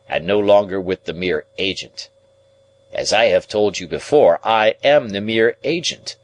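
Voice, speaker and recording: A man's voice: on the low side, poor audio. A man voicing a domineering, shrewd middle-aged lawyer in an audiobook, with an emphatic, interrogating and pedantic delivery. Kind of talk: audiobook character dialogue.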